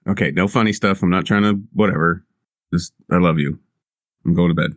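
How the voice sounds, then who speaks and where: A man's voice: low voice, fairly quick, no echo, studio quality. A man playing a tabletop role-playing game in casual, affectionate banter. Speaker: player.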